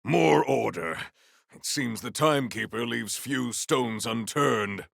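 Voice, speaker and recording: An actor playing a solemn, valiant warrior hero in a video game, speaking in formal, archaic, grandiose phrases. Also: male voice, medium pitch, takes it slowly, vocal fry, a bit bright, dry recording, studio quality, exaggerated voice. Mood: resolute, courteous. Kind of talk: theatrical video game character lines.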